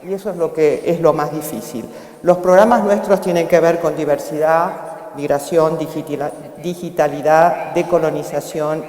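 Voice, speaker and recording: A woman's voice: deep voice, warm tone. An older woman speaking on a conference panel, hesitating often and searching for her words. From Argentina. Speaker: panelist, foundation director. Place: conference hall. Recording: echoey hall with long reverb.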